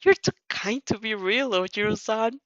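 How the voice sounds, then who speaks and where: A woman's voice: a little high, moderate pace, no room sound, dull audio, natural voice. A woman voicing an awkward, earnest, apologetic teenage schoolgirl in a story, with hesitant, dramatic delivery.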